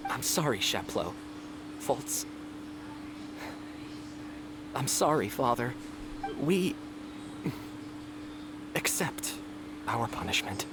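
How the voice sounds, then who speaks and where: A man's voice: mid-range voice, sing-song, slow, pauses often, noisy background. A man playing a resigned, honest young man in a video game, sombre and contrite. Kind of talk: English video game voice acting.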